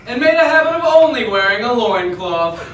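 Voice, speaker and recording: A woman's voice: a little high, big pitch swings, brisk, crowd noise. A teenage girl playing a storyteller, giving emphatic theatrical narration on stage. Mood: dramatic. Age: teens. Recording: far mic in echoey school hall.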